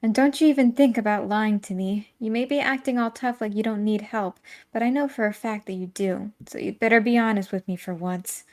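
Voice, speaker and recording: A woman reading the part of a stern, suspicious high school girl, demanding and no-nonsense. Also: steady tone, fairly quick, lightly acted.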